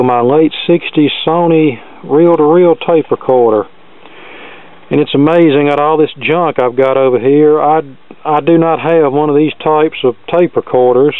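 Phone-like recording of a higher-voiced folksy man. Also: moderate pace, dry recording. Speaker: host demonstrating vintage electronics. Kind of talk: casual hands-on gadget demonstration. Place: cluttered indoor workbench.

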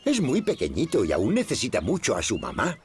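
A man playing a kindly, patient older neighbour in a children's cartoon, with a Castilian Spanish accent and gentle, encouraging manner. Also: medium pitch, huge pitch swings, fairly quick.

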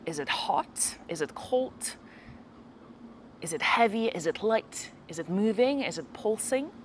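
A woman leading a guided meditation, giving slow, gentle instructions with long pauses between phrases. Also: medium pitch, lively intonation, a little hiss or hum.

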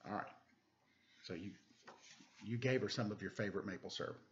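A man's voice: lowish voice, brisk, background noise. A man in his forties hosting a children's storytime at home, reading a picture book with playful, chatty asides. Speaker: storytime host and reader. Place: room at home. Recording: dull lo-fi webcam sound.

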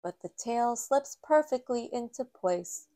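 A woman reading a children's story aloud as a storyteller, with careful, deliberate phrasing. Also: on the high side, animated, warm tone. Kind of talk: children's read-aloud narration.

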